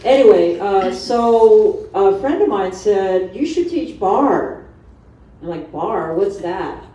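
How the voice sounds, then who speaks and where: A middle-aged woman from Honduras giving an informal, animated personal talk to an audience as a fitness instructor. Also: mid-range voice, takes it slowly. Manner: animated, conversational storytelling with acted-out asides. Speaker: fitness instructor on a seminar panel. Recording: distant mic in echoey room, dull sound.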